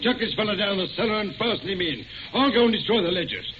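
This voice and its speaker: An actor playing a brisk, ruthless gangster in a 1940s radio thriller, curt and businesslike, on a muffled, noisy recording. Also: male voice, high voice, animated. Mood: ruthlessly brisk.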